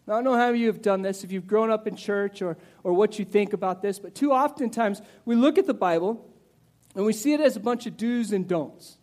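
A man in his forties preaching a sermon in a conversational, animated way, with asides to the listeners and some restarts. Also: brisk. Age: 40s. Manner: animated, conversational preaching with rises in pitch and volume. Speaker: pastor.

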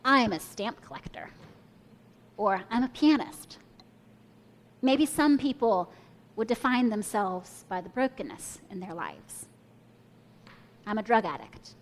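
A woman's voice: mid-range voice, very animated, takes it slowly, pauses often. A young woman preaching a sermon, telling a personal story and inviting listeners to imagine along with her. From Kenya and the US.

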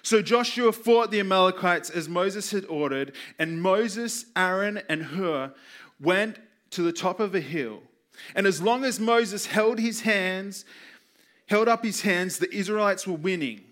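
A man preaching a sermon with personal stories, earnest and emphatic, using repetition and deliberate pauses. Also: sits high, fairly bright tone. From Australia. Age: 20s. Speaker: youth pastor preaching.

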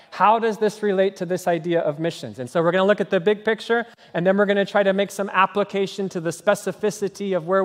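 A man preaching a sermon to a congregation, animated and conversational, often checking in with "right?". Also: high-pitched, in a large hall. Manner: animated preaching, tag questions and sung snippets. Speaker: pastor. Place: church sanctuary.

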